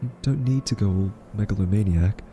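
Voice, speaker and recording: A man playing an anxious, soft-spoken scientist who reasons haltingly and earnestly with a listener in an audio roleplay. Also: on the low side, soft background music, natural voice. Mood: careful, pleading.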